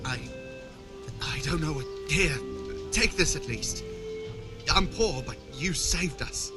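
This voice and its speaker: A man's voice: a little high, lively intonation, slow pace, loud music behind the voice. A man playing a poor, anxious medieval refugee in a video game, hesitant, humble and confiding. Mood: grateful. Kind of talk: video game character dialogue.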